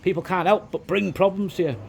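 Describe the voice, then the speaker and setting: A middle-aged man with a softened Liverpool accent, speaking in a somewhat high voice. He rambles through a casual vlog monologue, restarting sentences and circling back to the same phrases. A faint noise sits underneath the recording. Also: steady tone, fairly quick, vocal fry. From England. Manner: rambling, frequent restarts and "it is what it is". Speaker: vlogger, property developer.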